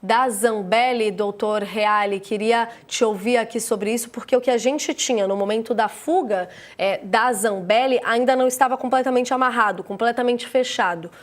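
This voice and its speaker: A Brazilian woman hosting a live news program, summarizing the story and posing questions to a guest in a conversational way. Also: on the high side. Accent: Brazilian Portuguese. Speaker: news host.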